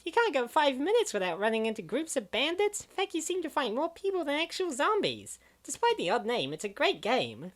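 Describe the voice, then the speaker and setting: A woman playing an opinionated gamer who grumbles wryly and wordily about video games in a scripted comic dub. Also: sits high. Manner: wry, wordy complaining.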